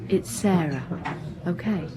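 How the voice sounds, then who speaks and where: A female detective inspector with a slightly low voice. She is guarded and firm, with a dry, weary edge. The delivery is naturalistic radio drama, measured and understated. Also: noisy background. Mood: relaxed, curious. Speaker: actor playing a police detective.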